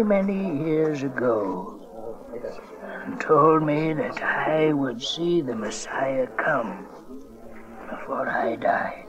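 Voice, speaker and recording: An actor playing a devout, frail old prophet in a dramatized audio Bible, tender and overjoyed, his wavering voice dropping into whispers. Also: fairly high pitch. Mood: reverent, pleading. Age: older. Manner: slow, emotional, reverent. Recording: muffled cassette with crowd behind.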